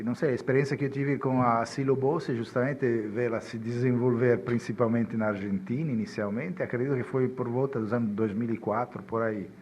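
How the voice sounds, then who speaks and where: A man's voice: medium pitch, a little mellow, slightly rough. A man with an Italian accent, a native Italian speaker, answering as a podcast guest and often repeating words as he searches for them. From Italy. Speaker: guest chemist. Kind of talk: podcast interview.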